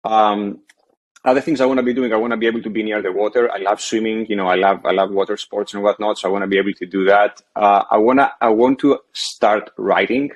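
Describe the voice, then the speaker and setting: A man's voice: on the low side, fairly even intonation, fast. A man in his thirties, originally from Greece, calling in to a show and talking hesitantly with many restarts. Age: 30s. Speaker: caller. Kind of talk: casual phone-in conversation.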